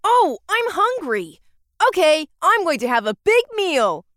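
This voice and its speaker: A woman's voice: very high voice, very animated, measured pace, a bit bright, dry recording, studio quality, exaggerated voice. A woman voicing a playful, childlike balloon boy who loves adventure, with bubbly exclamations, in a children's audio storybook. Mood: excited. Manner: exclamatory, bubbly, sighing and giggling.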